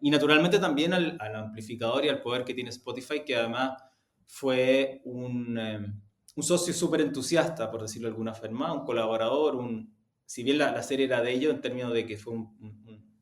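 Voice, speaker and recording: A man's voice: mid-range voice, brisk. A man with a Chilean accent giving spontaneous, reflective interview answers, often searching for words and rephrasing. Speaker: interview guest, podcast company executive. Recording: roomy, echoing sound.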